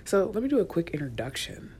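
A young woman, a college student, rambling in a casual solo podcast, chatty and often dropping to a near whisper, recorded in a quiet university office. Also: lowish voice, big pitch swings, quick pace, a little hiss or hum. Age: young adult. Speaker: podcast host.

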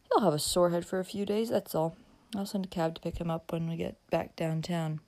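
A woman voicing a calm, protective young gentleman in an audiobook, speaking in a steady, matter-of-fact way. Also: fairly low pitch, a little fast, close to an everyday voice. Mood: cool.

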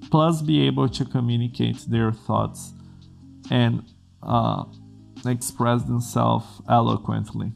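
A man from Brazil speaks English as a second language, earnestly talking programmers through career advice. His voice is deeply gravelly and full of fry. Soft music plays quietly underneath. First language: Portuguese. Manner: earnest, explains in plain steps with restarts. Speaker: coding school host. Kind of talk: advice vlog to camera.

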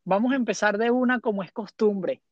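A man's voice: high-pitched, a little fast, no room sound, muffled recording. A man hosting a podcast who introduces his guest and interviews her in an upbeat way, repeating phrases and dropping English fillers. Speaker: podcast host.

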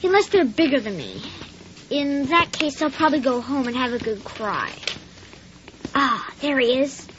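A woman doing a high, shy schoolboy. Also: expressive, moderate pace, a bit bright, a little hiss or hum. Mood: earnest. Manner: hesitant, stammering. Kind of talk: radio drama acting. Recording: slightly dull radio drama recording.

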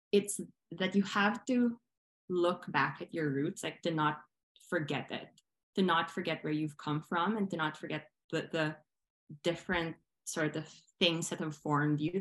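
A young woman from the Philippines with a low voice, speaking as a podcast guest. She reflects earnestly and conversationally, thinking aloud and circling back over phrases as she shapes each point. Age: young adult. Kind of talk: reflective conversational interview answers.

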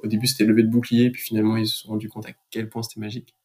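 Man chatting in an even voice. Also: lowish voice, rapid-fire, husky. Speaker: podcast guest, business manager. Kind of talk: casual explanatory chat.

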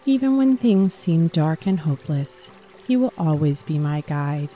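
A woman narrating a scripted guided sleep meditation for children in a gentle, calming, soothing manner. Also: sits low, measured pace, faint music behind.

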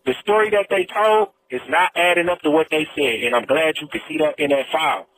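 A man's voice: a little high, fairly quick, fairly bright tone, a little hiss or hum. An American man making an emphatic, repetitive, impassioned plea over a prison phone line. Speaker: prisoner telling his story. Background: music.

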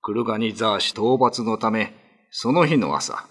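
A man's voice: on the low side, lively intonation, a little slow, fairly bright tone, breathy edge. A man narrating an old ghost tale as a scripted radio drama, in a dramatic storytelling style.

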